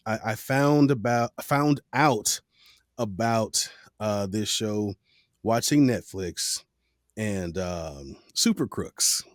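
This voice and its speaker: A man's voice: fairly low pitch, slow, no echo. Male podcast host, dry and close. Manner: hesitant questions full of fillers, friendly. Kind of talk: casual interview.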